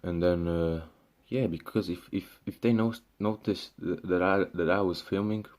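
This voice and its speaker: A young man from Syria rants to his camera with a very low voice. He talks casually and loosely, tripping over restarts and fillers, dropping swear words and "bro" as he goes. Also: steady tone, moderate pace, a little mellow. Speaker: vlogger. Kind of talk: casual ranting monologue.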